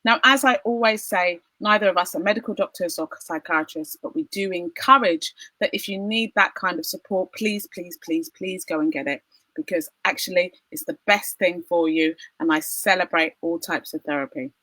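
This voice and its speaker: Bubbly woman host on a video call, bright tone, dry close sound. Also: lowish voice, moderate pace, dry recording, rough recording. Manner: bubbly, laughing often, emphatic. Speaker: podcast host and opera singer. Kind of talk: casual video-call interview.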